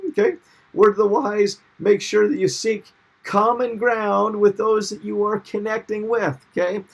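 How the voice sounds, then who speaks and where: A man's voice: high voice, steady tone, measured pace, gravelly, dull audio. A middle-aged man teaching a recorded seminar over slides, upbeat and often checking in with "okay?". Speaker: marketing instructor.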